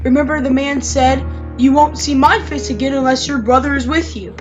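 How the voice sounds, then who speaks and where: Earnest Hebrew brother played by a woman, insistent and pleading, high-pitched, for a Bible storybook over music. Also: moderate pace, dull audio, natural voice. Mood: defensive. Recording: music behind the voice.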